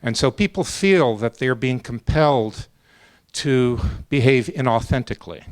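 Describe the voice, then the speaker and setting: Slow, deliberate man making an argument. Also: medium pitch. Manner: deliberate, measured, breaking thoughts into short phrases. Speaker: professor on a debate panel. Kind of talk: extemporaneous academic argument.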